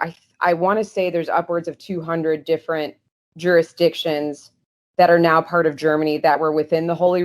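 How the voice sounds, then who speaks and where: A woman talking as a guest historian on a podcast, earnest and explanatory, with small restarts. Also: on the low side. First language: English.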